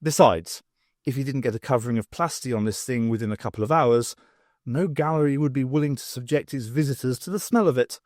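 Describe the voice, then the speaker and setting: A man's voice: medium pitch, fairly quick, a little mellow, dry recording, clean studio sound. A man reading scripted fiction aloud as an audiobook narrator.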